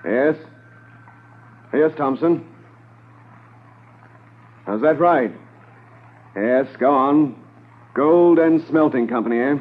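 Commanding police chief on thin old radio. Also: male voice, mid-range voice, takes it slowly, long pauses. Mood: decisive, satisfied. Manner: crisp, authoritative, giving orders. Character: brisk, commanding senior Mounted Police officer, male. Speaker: actor playing a senior police officer. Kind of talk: 1950s radio drama acting. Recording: narrow, noisy 1950s radio transcription.

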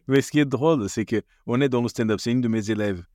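A man's voice: mid-range voice, a little fast, darkish tone, no echo, clean studio sound. A man from Portugal with a Portuguese accent shaded by English, a playful stand-up comic chatting on a podcast. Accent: Portuguese with English influence. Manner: jokey, playful, laughs often. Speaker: stand-up comedian, podcast guest. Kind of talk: casual podcast chat.

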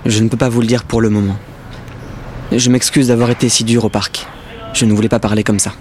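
An actor playing a polite, guarded, worried official in a naturalistic audio drama. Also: male voice, lowish voice, flat delivery, brisk, a little mellow, natural voice. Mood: guarded, apologetic. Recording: clear outdoor-like ambient noise.

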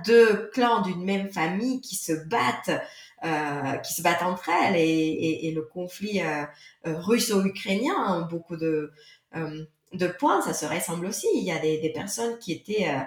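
A woman's voice: lowish voice, lively intonation, a little slow, echoey room. A woman, not a native French speaker, being interviewed on a podcast as an author, talking hesitantly with many fillers and restarts. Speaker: guest author.

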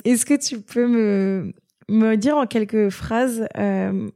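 A woman's voice: fairly high pitch, slow pace, mellow. A woman hosting a podcast, introducing and interviewing a guest. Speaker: podcast host.

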